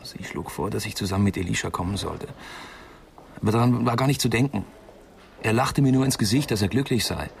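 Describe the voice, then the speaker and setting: An actor playing a troubled young man giving a halting, confessional account in a German radio crime drama, with a creaky voice. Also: lowish voice. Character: distressed young man, witness worried about his sick friend. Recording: faint background noise and ambience.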